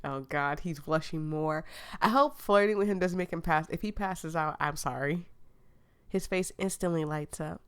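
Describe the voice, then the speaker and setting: A woman's voice: low-pitched, normal speed, clean tone. A woman, sick and losing her voice, reading a dating game aloud with giggly, playful asides to viewers. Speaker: gaming streamer.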